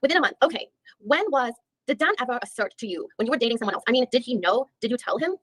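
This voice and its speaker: A woman reads a dogged female attorney working through a deposition. She fires off methodical questions at a very quick clip, often restarting mid-sentence. The sound is close and dry. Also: on the high side, lively intonation, a bit bright, no echo. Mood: probing. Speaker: reader in a deposition reenactment. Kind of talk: read-aloud legal reenactment.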